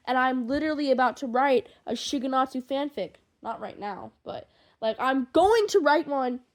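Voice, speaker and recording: A young adult woman chatting casually to listeners in a rambling, gushing, excitable and slangy way, as a fan fiction creator. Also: high voice, moderate pace.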